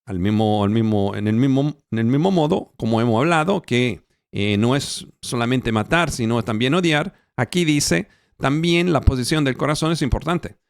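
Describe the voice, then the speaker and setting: A male pastor preaching a Bible teaching in Spanish, which is not his first language. He speaks slowly and haltingly, with fillers and self-corrections. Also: mid-range voice, gravelly, no room sound, studio quality. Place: church, recorded for an online channel.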